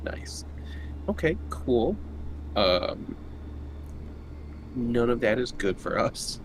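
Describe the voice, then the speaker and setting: A man's voice: mid-range voice, sing-song, drawn-out words. A man chatting casually and informally as a player in a tabletop roleplaying game. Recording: clearly noisy background.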